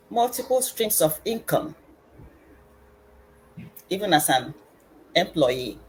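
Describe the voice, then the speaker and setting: A middle-aged woman from Nigeria, an educationist and business consultant, speaking formally and deliberately on an online panel. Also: medium pitch, a little mellow, a little hiss or hum, low-quality recording. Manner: slow, measured, formal delivery with pauses.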